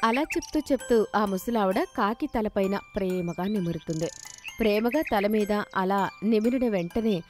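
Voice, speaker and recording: A woman's voice: mid-range voice, moderate pace, faint music behind. A woman telling scripted children's moral stories as narrator. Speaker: storyteller, narrator.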